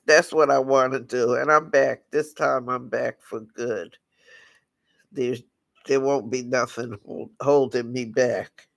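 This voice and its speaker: Slow raspy-voiced man, halting. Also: medium pitch, a bit bright, no room sound. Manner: halting, many restarts and fillers. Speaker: channel host. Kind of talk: casual livestream talk.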